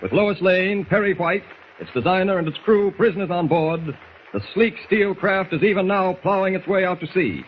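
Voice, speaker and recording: High-voiced vintage radio male narrator, urgent. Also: moderate pace, poor audio. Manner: urgent, grandiose, theatrical. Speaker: announcer and narrator. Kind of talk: 1940s radio scripted dramatic narration. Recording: vintage radio recording, faint noise.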